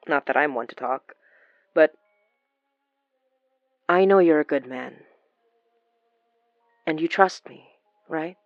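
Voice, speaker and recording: An actress playing a warm, earnest young woman in an audio drama, gentle and reflective, with sighs. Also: low voice, slow, long pauses, no echo, muffled recording, soft background music. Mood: wistful. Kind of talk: naturalistic audio drama acting.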